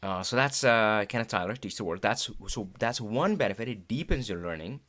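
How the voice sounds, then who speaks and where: A middle-aged man from India with an Indian accent, Hindi his first language, hosting a live talk in a chatty, animated way with frequent laughs. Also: lowish voice, fairly quick. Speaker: host.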